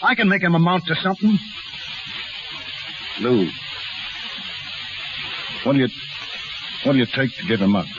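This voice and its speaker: An actor playing a gruff, possessive middle-aged carnival father, bitter and blunt, in 1930s radio drama style. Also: mid-range voice, sing-song, measured pace, long pauses, loud music behind the voice. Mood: pleading. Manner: blunt, slangy, emotional. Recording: muffled, distant, noisy 1930s radio recording.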